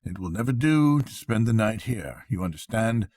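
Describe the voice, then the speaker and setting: A man's voice: lively intonation, moderate pace, darkish tone, no room sound, studio quality. A man voicing a kindly, brave male water rat in a storybook reading, with a soothing, fatherly manner. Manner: reassuring, fatherly, slightly higher than the narration.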